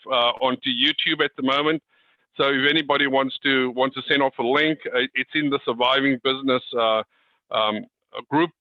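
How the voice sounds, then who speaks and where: A man's voice: mid-range voice, no room sound. A man speaking informally to a group as a coach on a video call, often repeating his words and circling back on phrases. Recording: dull, lo-fi video call audio.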